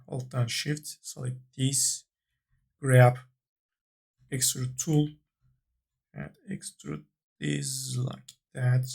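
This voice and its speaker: Slow, husky man narrating a tutorial. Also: mid-range voice, a little mellow. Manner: halting, thinking aloud in short phrases. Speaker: 3D modeling tutorial instructor. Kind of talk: unscripted software tutorial narration.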